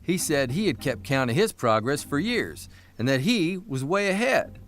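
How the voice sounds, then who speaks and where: Wry, higher-voiced roving newspaper columnist. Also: male voice, big pitch swings, normal speed, fairly bright tone, soft background music. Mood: rueful, dry. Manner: wry, plain-spoken, conversational. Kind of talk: first-person storytelling in audio drama.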